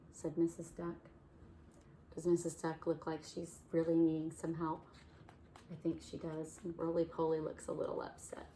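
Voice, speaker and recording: A woman reading a picture book aloud to children, gently asking questions about the pictures. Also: lowish voice. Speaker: children's story reader. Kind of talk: storytime read-aloud for kids.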